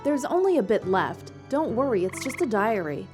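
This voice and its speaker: Reassuring, earnest sister, female actor for audio drama: slightly high pitch over clear background music. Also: very animated, moderate pace, a little mellow. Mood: curious, reassuring. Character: curious, reassuring sister reading father's eerie diary aloud. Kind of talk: audio drama dialogue and diary reading.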